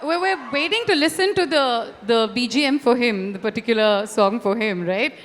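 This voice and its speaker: A woman's voice: fairly high pitch, normal speed, a little hiss or hum. A woman hosting a promotional interview, effusive and articulate. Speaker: event host, interviewer. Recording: reverberant hall sound.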